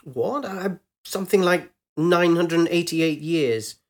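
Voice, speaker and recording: A woman's voice: on the low side, takes it slowly, pitched above the performer's own voice. A man voicing a bewildered, ancient woman who speaks in eager, faltering bursts. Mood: defensive. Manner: faltering, uncertain questions.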